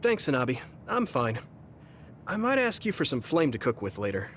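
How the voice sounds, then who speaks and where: An actor playing a kind, level-headed young man in an anime game, gentle and considerate. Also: medium pitch, wildly swooping pitch, moderate pace, slight background noise. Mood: kind, calm.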